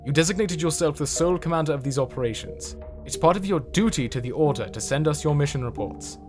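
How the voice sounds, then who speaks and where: A man's voice: on the high side, lively intonation, quick pace, darkish tone, lightly acted. A man playing a proud, zealous military general with a formal, speechifying manner in a dramatic comic dub. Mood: sardonic, reproachful. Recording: music behind the voice. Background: music.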